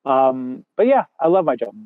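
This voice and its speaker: A man with a big booming voice, a film assistant director chatting as an interview guest, rambling with many fillers and restarts. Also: very animated, normal speed, no echo. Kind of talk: casual interview chat. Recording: dry tinny phone-like sound.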